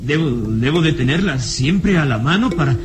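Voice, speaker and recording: Man acting a tormented, higher-voiced jealous suitor. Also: animated, music in the background, close to an everyday voice. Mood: defensive, nervous. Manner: agitated, halting, repeating words. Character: tormented, jealous, fearful drunken suitor nursing murderous rage. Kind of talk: old radio serial acting, melodramatic.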